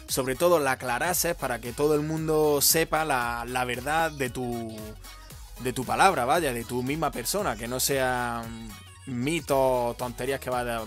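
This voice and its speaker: Host read: young man from Spain, upbeat, slangy, mid pitch, light music bed. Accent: Spain Spanish. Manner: upbeat, informal, says "tío". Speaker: host, gaming channel. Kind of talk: casual interview questions.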